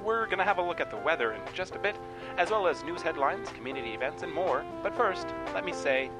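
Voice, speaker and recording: An actor playing a cheeky small-town morning radio host, breezy and deadpan in a comedy radio play. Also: male voice, a little high, fast, close to an everyday voice, rough recording. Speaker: morning show announcer. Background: music.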